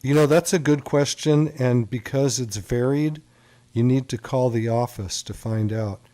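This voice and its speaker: A man's voice: mid-range voice, unhurried, dark tone. A man hosting a radio call-in show as a doctor, chatty and reassuring with callers.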